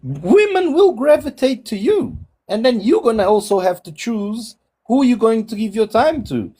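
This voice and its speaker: A man's voice: big pitch swings, normal speed, warm tone, slightly rough. A man in his forties from Angola, raised in Portugal, talking reflectively in a casual group chat with frequent restarts over a video call. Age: 40s. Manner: reflective, many restarts, shifting pitch. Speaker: guest on a dating discussion.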